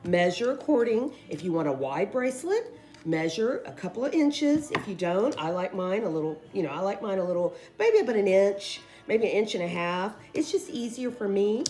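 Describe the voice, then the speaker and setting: A woman who is losing her voice, hoarsely chatting her way through a casual craft tutorial in a rambling, informal way. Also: mid-range voice, very animated, normal speed, darkish tone. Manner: rambling, informal, addresses viewers as guys. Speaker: craft video host.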